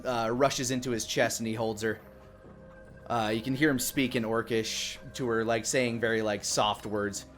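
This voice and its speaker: A man improvising narration as dungeon master of a tabletop game, piecing descriptions together haltingly. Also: mid-range voice, a bit bright.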